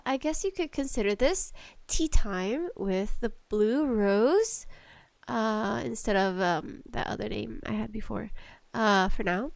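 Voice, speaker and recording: A woman chatting casually as a solo podcast host, nervous, halting and apologetic, with allergies bothering her. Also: fairly high pitch, fairly bright tone, no room sound. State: allergies acting up. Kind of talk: casual solo podcast chat about games and tea.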